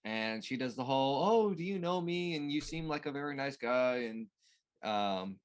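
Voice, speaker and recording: A man in casual conversation as a co-host, recapping a story in a halting way and searching for words. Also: mid-range voice, expressive, clear, resonant tone. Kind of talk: casual chat recapping a TV show.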